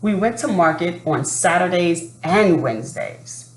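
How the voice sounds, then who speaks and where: A woman reading a children's picture book aloud in an expressive, unhurried manner. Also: fairly low pitch. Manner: expressive, unhurried, adds asides to listeners. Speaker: storybook reader. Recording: roomy echo, faint background noise.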